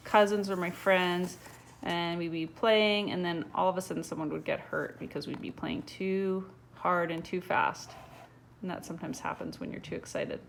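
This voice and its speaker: A woman reading a picture book aloud to children in a casual, conversational way, pausing to put questions to the listener. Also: fairly low pitch, vocal fry, slight background noise. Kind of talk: casual read-aloud with chatty asides to young viewers.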